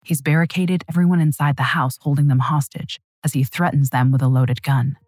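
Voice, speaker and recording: A woman narrating a true crime story in a measured, dramatic scripted style. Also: very deep voice, fairly even intonation, darkish tone, no room sound, clean studio sound.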